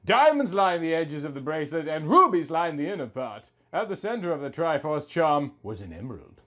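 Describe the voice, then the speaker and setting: A man's voice: a little high, big pitch swings, a little fast, bright, forceful tone. A young man reading a story aloud in a theatrical, mock-serious way with exaggerated emphasis. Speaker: host reading amateur fan fiction.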